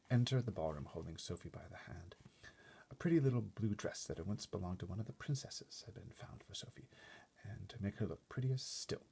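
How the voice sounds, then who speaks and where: A man reading a children's book aloud in an expressive, often hushed way, adding casual explanatory asides. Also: deep voice, vocal fry, breathy edge. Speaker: storybook reader.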